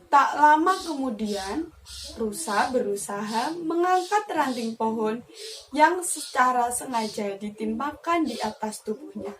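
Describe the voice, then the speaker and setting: Young woman doing kids storytime, high voice, slow n expressive, teachery. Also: rough recording. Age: young adult. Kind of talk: animated children's storytelling with questions.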